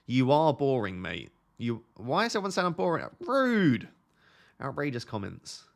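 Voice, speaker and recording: A young man hosting a fantasy football live stream, reading viewer questions aloud and answering them in a relaxed, soothing voice. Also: mid-range voice, normal speed, gravelly. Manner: self-described relaxing, soothing voice. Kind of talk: casual live stream chat.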